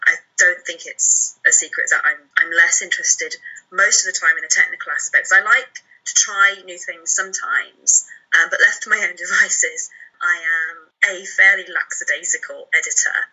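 A woman giving reflective personal commentary in an articulate, measured way. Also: lowish voice, fairly even intonation, bright, forceful tone, slightly rough, dull audio. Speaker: podcast contributor in a clip.

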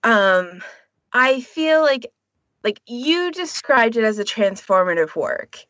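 A woman's voice: a little high, sing-song, takes it slowly, a bit bright, dry recording, dull audio. A woman co-hosting a podcast, talking casually and informally with firm opinions of her own. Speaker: podcast co-host.

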